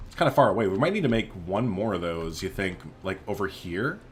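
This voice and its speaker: A man's voice: sits low, big pitch swings, fairly quick, slight background noise. A man hosting a gaming video, chatting casually and playfully as he thinks aloud through his play. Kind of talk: casual let's play commentary.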